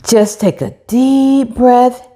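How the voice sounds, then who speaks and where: A woman's voice: a little high, extremely slow, warm tone, pitched above the performer's own voice. A woman voicing a patient, encouraging mother in a children's storybook, coaxing and reassuring.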